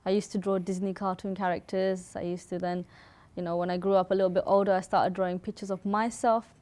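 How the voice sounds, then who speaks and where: A woman from Sri Lanka speaks as a guest in a casual interview. Her pitch is average for a woman, and she talks informally, sometimes reaching for the right word. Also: level tone, quick pace, a little mellow. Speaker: interview guest, artist and photographer.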